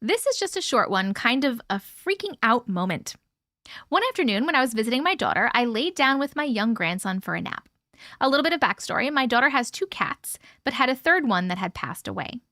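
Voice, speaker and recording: A woman's voice: fairly high pitch, big pitch swings, a little fast, bright, forceful tone, dry recording, studio quality. A woman hosting a live stream from home, chatting effusively with viewers and reading their stories aloud. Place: at home with her cat.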